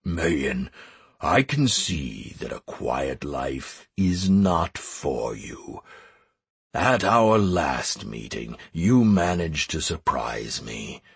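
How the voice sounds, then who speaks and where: A man voicing an ancient male dragon in an audiobook, solemn and commanding, pronouncing slow, ponderous decrees. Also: rumbling low, very animated, breathy edge, no room sound, pitched below the performer's own voice, full character voice. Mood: grave, astonished.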